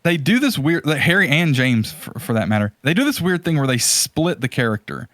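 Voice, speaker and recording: A man's voice: on the high side, big pitch swings, fairly quick, fairly bright tone, no echo, clean studio sound. A man hosting a podcast in casual, opinionated film commentary, emphatic and rambling with repeated phrases. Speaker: podcast host.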